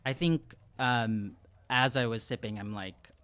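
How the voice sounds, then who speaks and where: A man's voice: medium pitch, a little slow, a bit bright, clean tone. A young man playing a tabletop roleplaying game, casual and hesitant as he thinks aloud, on a close studio mic. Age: young adult. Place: small indoor studio room. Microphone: close studio podcast mic with windscreen.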